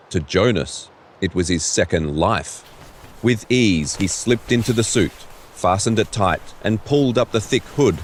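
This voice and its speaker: A man reading scripted third-person story narration for an audio drama. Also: on the low side.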